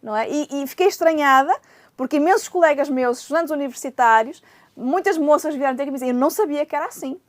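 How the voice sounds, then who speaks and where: Fast, high-pitched emphatic woman panelist. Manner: emphatic, pleading, tag questions. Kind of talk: studio discussion, storytelling.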